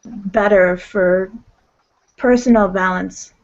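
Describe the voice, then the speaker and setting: A woman's voice: medium pitch, fairly even intonation, slow pace. A woman talking conversationally in character as a player in a tabletop roleplaying game. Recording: muffled, dull lo-fi audio.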